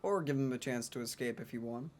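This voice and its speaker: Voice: mid-range voice, fast talker. A sardonic, dry-humored co-commentator chatting casually over a video game. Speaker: let's play co-commentator. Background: game music.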